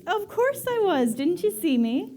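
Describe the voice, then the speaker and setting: A woman's voice: very high voice, unhurried, slight background noise, full character voice. A woman playing a cheerful, playful young wife. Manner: playful, lively.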